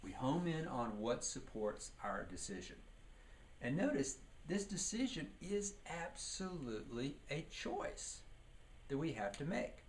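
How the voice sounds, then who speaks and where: A man's voice: fairly high pitch, very animated, unhurried. A man giving a leadership training lecture, teaching by posing questions and answering them, with emphasis on key words. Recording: clearly noisy recording.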